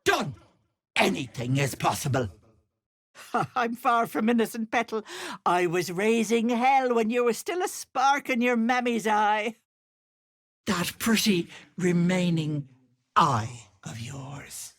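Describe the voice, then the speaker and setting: A sly old Irish witch for a video game. The voice is husky and raspy, swooping widely in pitch and taking its time. She coos sweet endearments with gleeful menace underneath. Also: lively intonation, slow. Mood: teasing, sly. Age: older. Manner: wheedling endearments turning to menace. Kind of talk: video game character acting.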